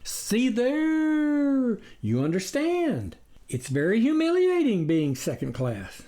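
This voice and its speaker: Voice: mid-range voice, huge pitch swings, takes it slowly, warm tone, voice pushed up. A reader voicing a sly wolf-like stranger in a storybook satire, sweet-talking and preaching with indignant persuasion. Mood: aggrieved.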